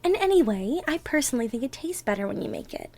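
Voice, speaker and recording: A woman's voice: high-pitched, sing-song, fast talker, darkish tone, heavily acted. A woman playing an affectionate, playfully bossy girlfriend in a one-sided ASMR roleplay, chatting and thinking aloud as she bakes. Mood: chatty, proud. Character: bubbly, bossy, affectionate young girlfriend baking for Thanksgiving. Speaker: roleplay audio performer.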